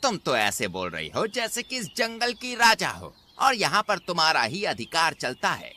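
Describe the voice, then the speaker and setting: A man voicing a sly, insolent wolf in an animated fable, with a defiant, theatrical delivery. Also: a little high, moderate pace, slight background noise, full character voice. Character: sly, insolent male wolf scheming in a forest.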